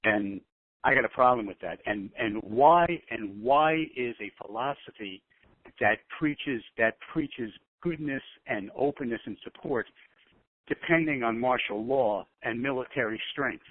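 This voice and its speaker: A man airing opinions on a conference call, insistent and halting, often repeating his phrases. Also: mid-range voice. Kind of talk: casual discussion, airing opinions. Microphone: telephone. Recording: lo-fi, tinny phone line.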